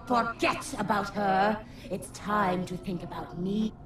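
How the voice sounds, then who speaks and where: A woman voicing a seductive, scheming female villain who taunts slowly and sultrily, over loud game music. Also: medium pitch, steady tone, roomy sound, natural voice. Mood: seductive, taunting.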